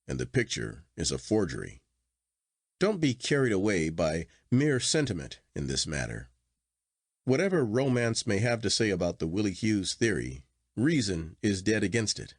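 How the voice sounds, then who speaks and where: Earnest literary gentleman, played by a male reader: formal, emphatic, lower voice with big swings of intonation. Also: breathy edge, no echo, clean studio sound, heavily acted. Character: earnest gentleman narrator, contrite then exasperated sceptic. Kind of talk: dramatic storybook dialogue.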